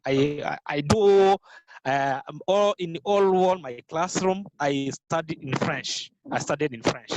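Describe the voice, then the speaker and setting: A Rwandan man whose first language is Kinyarwanda, answering interview questions in careful, halting English with many fillers and restarts. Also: a little high, darkish tone, dry recording, low-quality recording. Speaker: guest, business founder. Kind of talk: podcast interview.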